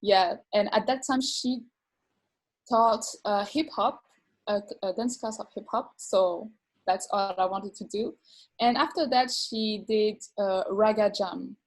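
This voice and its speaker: A woman from France, a dancer and teacher, telling her story in an interview with many pauses, fillers and repeated words. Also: mid-range voice, rough recording. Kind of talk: video call interview.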